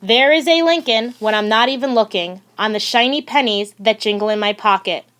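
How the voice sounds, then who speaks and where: A woman narrating a children's picture book aloud in a deliberate, expressive storytelling manner. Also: fairly high pitch. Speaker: audiobook reader.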